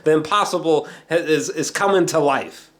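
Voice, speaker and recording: A man's voice: fairly high pitch, normal speed, fairly bright tone. A man giving a casual, rambling film review, searching for words and repeating himself, with emphatic, slangy swearing. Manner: emphatic, fannish.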